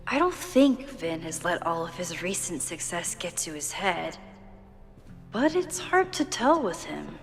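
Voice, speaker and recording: An actress playing a determined, inquisitive young amateur sleuth in a video game, understated and thoughtful. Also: female voice, fairly low pitch, very animated, unhurried, vocal fry, heavily acted. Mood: musing. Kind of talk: naturalistic video game acting. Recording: music behind the voice.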